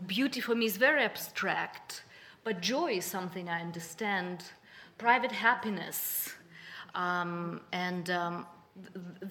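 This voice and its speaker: A woman poet from Belarus, whose first language is Russian, speaking slowly and thoughtfully at a panel discussion, pausing often with ums. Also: mid-range voice, bright, forceful tone. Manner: slow, reflective, many ums.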